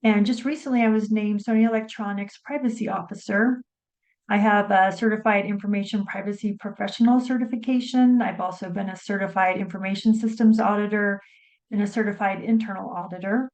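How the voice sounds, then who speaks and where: A woman introducing herself on a panel in a measured, formal way, with small fillers. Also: medium pitch, steady tone, a little mellow, poor audio. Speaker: panel moderator.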